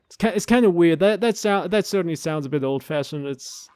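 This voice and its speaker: A man's voice: a little high, animated, fairly quick, a little mellow, clear, resonant tone, no echo. A man chatting casually as a co-commentator, explaining video game voice lines. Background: video game music and voice clips.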